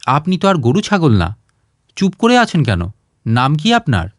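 An impatient male doctor from an audio short story, acted out by a man narrating. He fires curt, scolding questions in a fairly high, swooping voice. The recording is dry and close. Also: huge pitch swings, normal speed, no echo. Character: impatient, brusque, busy male city doctor.

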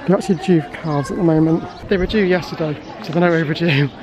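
A man's voice: fairly high pitch, fast talker, a crowd in the background. A man, chatting casually to a handheld camera outdoors as he vlogs. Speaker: vlogger. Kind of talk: casual vlog chat to camera. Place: outdoors at a zoo farm. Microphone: handheld camera at arm's length.

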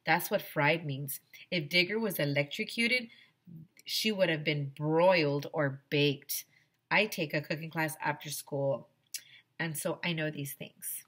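A woman's voice: low voice, a little slow, fairly bright tone, breathy edge, full character voice. A woman voicing a prim, know-it-all little schoolgirl in a storybook, explaining things emphatically. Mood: smug.

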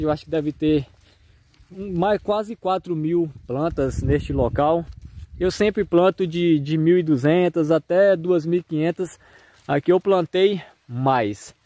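Brazilian man, higher-pitched, narrating a farm tour. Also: mellow, a little hiss or hum. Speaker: farmer and video host. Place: outdoors on a rural farm. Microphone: handheld phone.

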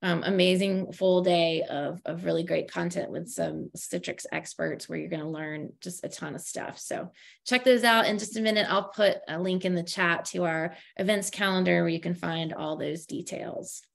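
A woman's voice: on the low side, fairly even intonation, moderate pace, vocal fry. A woman hosting a webinar, giving announcements in an informal way with frequent fillers over a video call. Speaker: webinar host.

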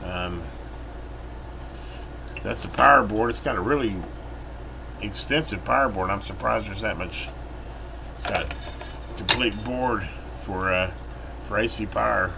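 Male, middle-aged, American, lowish voice. Folksy hands-on repair host, rambling as he works, clear background hum. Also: unhurried, pauses often. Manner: folksy, rambling, thinking aloud. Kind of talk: casual hands-on repair commentary. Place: indoor workbench. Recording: steady room hum, air-conditioner-like noise.

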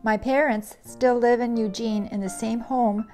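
A middle-aged woman from Poland, narrating a craft tutorial in a measured, earnest voice-over. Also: fairly high pitch, fairly even intonation, darkish tone, faint music behind.

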